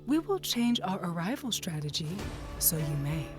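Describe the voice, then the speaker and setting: A woman playing a cold, menacing alien invasion commander in an audio drama, speaking with deliberate threat. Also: lowish voice, sing-song. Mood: cold obedience. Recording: loud music under voice. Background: loud dramatic music.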